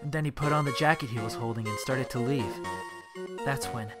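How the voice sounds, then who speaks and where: A woman's voice: extremely low pitch, brisk. A woman voicing a naive, emotional young male student on trial, stammering and pleading in a dramatic fan dub. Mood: earnest recounting. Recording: loud music behind voice. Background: loud music.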